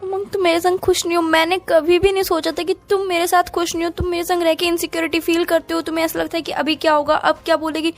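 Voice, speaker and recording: A woman's voice: extremely high pitch, little pitch movement, fast talker, few pauses, soft background music. A woman with an Indian accent playing a hurt, apologetic young student girlfriend, pleading in a phone-call romance drama. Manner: pleading, plaintive.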